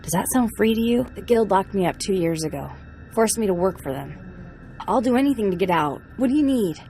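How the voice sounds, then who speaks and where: An actress playing a sardonic, defiant captive hacker in a video game, talking in a dry, sarcastic way. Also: female voice, medium pitch, expressive, a little fast, mellow, soft background music, close to an everyday voice, rough recording. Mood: bitter, urgent. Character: sardonic enslaved female hacker, defiant and bargaining. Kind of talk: video game dialogue acting.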